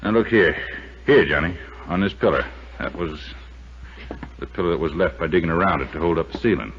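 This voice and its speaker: An actor playing a savvy, folksy American desert guide in a 1950s radio drama, telling things in an unflappable, colloquial way. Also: male voice, deep voice, natural voice. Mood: matter-of-fact. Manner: folksy, colloquial, drawn-out storytelling. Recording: muffled vintage radio recording with faint hiss.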